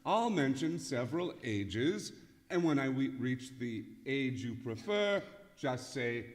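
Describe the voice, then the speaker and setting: An actor playing a polite, fussy, flustered department store manager in an old-time radio comedy. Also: male voice, medium pitch, sing-song, slow. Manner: hesitant, throat-clearing, patronizing politeness. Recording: echoey hall, live stage performance.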